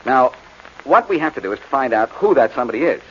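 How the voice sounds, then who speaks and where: An actor playing a cocky, teasing amateur detective with glib banter in a 1940s radio detective drama. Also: male voice, mid-range voice, sing-song, quick pace, fairly bright tone. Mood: teasing, breezy. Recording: muffled, hissy vintage radio recording.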